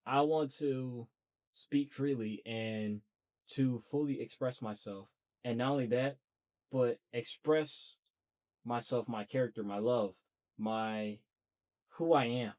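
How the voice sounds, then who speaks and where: A man's voice: mid-range voice, steady tone, takes it slowly, a little mellow. A young man talking earnestly and at length to camera about starting a podcast, in a loose, chatty way. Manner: talkative, earnest, self-motivating. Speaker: podcast host. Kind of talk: casual solo monologue.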